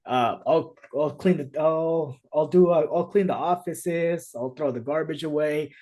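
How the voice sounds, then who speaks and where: Podcast host, male, native Spanish speaker, higher-pitched, earnest and rambling delivery on a video call. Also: measured pace, warm tone. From United States. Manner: earnest storytelling, many restarts. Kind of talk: casual conversation.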